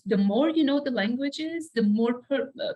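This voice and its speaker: A woman's voice: high-pitched, expressive, normal speed, mellow. Woman from Iran, reflective and searching. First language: Persian. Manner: hesitant, searching for words, frequent "you know". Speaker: interview guest, writer. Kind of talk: reflective conversational interview.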